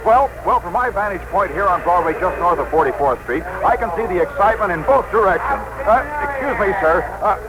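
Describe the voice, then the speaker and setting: A man's voice: sits high, expressive, fairly quick, hardly any pauses, low-quality recording. A man playing an earnest, easily flustered street reporter in a radio drama, polite and sentimental in announcer style. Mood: earnest, reflective. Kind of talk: 1960s radio acting, live commentary. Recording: old radio broadcast with background music.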